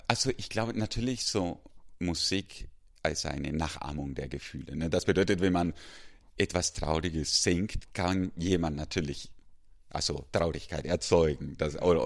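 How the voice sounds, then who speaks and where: Deep-voiced man with a South American accent. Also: expressive. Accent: South American Spanish. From Ecuador. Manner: halting, restarts often, laughs easily. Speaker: music school head. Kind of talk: casual interview chat.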